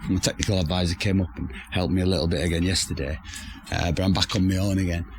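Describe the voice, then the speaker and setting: A hoarse man who has nearly lost his voice, chatting casually to camera about his outdoor building project with rambling restarts. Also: low-pitched, fairly even intonation, quick pace, a little hiss or hum. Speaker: vlogger building a pizza oven shelter. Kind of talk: casual to-camera DIY chat. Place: outdoor building site in a garden.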